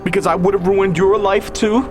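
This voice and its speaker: A man's voice: high voice, steady tone, normal speed, music in the background, pitched above the performer's own voice, natural voice. A man voicing a distraught, unstable woman in an audio story, tearful and accusing in manner. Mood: angry, accusing. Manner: sobbing, snapping, shouting.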